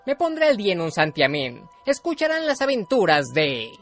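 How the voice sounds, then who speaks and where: A woman doing a lively, flustered storybook rat. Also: mid-range voice, normal speed, music in the background, natural voice. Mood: flustered storyteller. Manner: polite and theatrical, then grumbling nervously.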